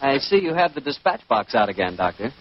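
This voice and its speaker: A man's voice: medium pitch, big pitch swings, brisk. An American man announcing in a polished, genial 1940s radio style, with scripted sponsor patter and banter. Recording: muffled 1940s radio broadcast recording.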